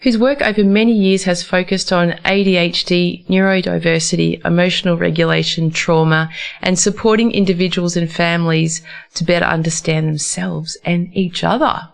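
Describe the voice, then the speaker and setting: A woman hosting a radio interview from a community radio studio, asking conversational, gently probing questions. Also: on the low side, fairly even intonation, moderate pace, creaky. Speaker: radio host. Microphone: studio microphone.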